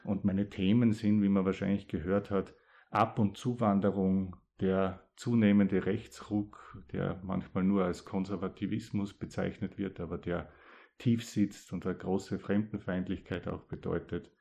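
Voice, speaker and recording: A man from East Styria with an East Styrian Austrian accent, a poet reading his dialect poems and then talking about them thoughtfully, with pauses. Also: deep voice, level tone, creaky, dark tone. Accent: East Styrian Austrian German.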